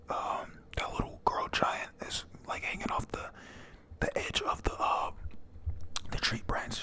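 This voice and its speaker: Whispering narrator for a casual panel-by-panel comic recap: halting, slangy, highly varied in delivery. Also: bright, forceful tone. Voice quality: breathy unvoiced whisper. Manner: halting, casual, slangy with swearing, reads out characters' lines. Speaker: manga reader narrating. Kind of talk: whispered retelling of a manga chapter, panel by panel.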